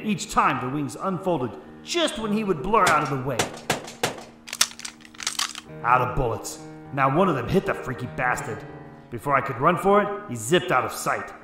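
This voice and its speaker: A hard-boiled detective narrates a noir radio serial, performed by a man. His delivery is terse and dramatic at a middle pitch, in a roomy recording with clear music behind. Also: animated, moderate pace, lightly acted. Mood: tense recounting. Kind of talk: first-person scripted noir narration. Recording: echoey room, music underneath.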